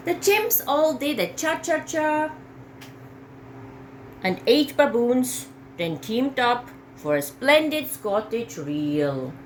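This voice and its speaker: A woman reading a children's picture book aloud in an expressive, dramatic way. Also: fairly high pitch, slight background noise, poor audio. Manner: slow, dramatic phrasing for young children.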